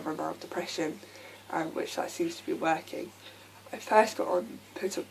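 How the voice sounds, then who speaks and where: A young woman with a croaky voice from a lasting sore throat, talking candidly to camera with many ums. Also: on the low side, darkish tone, a little hiss or hum, low-quality recording. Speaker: vlogger. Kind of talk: casual talk to camera.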